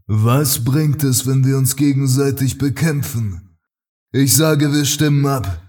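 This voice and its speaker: An actor playing a stern, commanding male cult leader in a fan audio drama, speaking slowly and solemnly with a husky voice. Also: mid-range voice, dark tone, recorded from a distance, faint music behind. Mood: solemn appeal. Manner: slow, solemn, declamatory.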